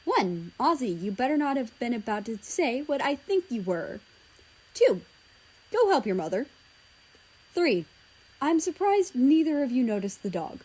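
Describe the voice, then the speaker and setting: A woman's voice: sits high, sing-song, measured pace, long pauses, a little mellow, breathy edge, pitched above the performer's own voice, exaggerated voice, rough recording. Woman voicing a courteous British father. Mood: gently stern. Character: polite, fatherly British man, gently stern.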